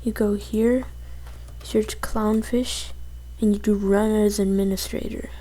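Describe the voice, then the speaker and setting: A woman presenting a casual software tutorial as she goes through the steps on a computer. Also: mid-range voice, fairly even intonation, takes it slowly.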